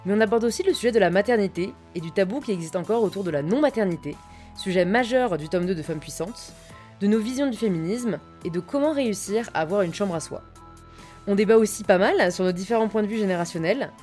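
A woman's voice: mid-range voice, a little mellow. Young woman hosting over music. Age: 20s. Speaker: podcast host and interviewer. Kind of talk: scripted introduction and conversational interview.

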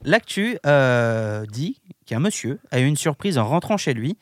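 A man's voice: mid-range voice, very animated, a little slow, no room sound. A man hosting a podcast in casual chat, reading out news items in a playful way with frequent laughs, on a close studio mic.

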